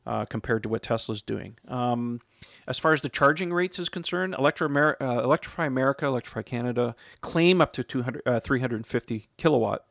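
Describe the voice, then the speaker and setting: A man's voice: medium pitch, fairly quick, creaky, fairly bright tone, dry recording, clean studio sound. Chatty thirties man hosting a podcast. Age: 30s. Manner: chatty, laughs often, frequent fillers. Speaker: podcast host reading listener questions. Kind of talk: casual podcast chat.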